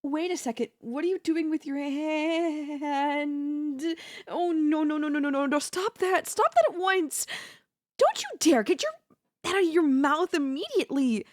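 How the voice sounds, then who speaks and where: A possessive, scheming yandere woman, acted by a woman for an ASMR audio roleplay. Her voice sits on the high side. She speaks in theatrical, formal phrases with a haughty edge, lovestruck and menacing at once. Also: animated, measured pace. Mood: alarmed, commanding.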